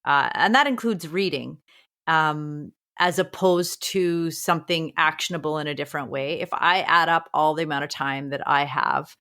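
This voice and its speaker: A woman's voice: sits low, normal speed, bright, forceful tone. A woman who is a teacher and podcast co-host, talking earnestly with a strong voice and thinking aloud with frequent restarts. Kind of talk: reflective casual conversation.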